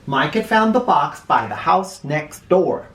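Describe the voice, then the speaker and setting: A man reading a children's story aloud as a storyteller, in an animated, theatrical way with drawn-out emphasis. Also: on the high side. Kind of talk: expressive storybook read-aloud. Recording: roomy echo, distant mic.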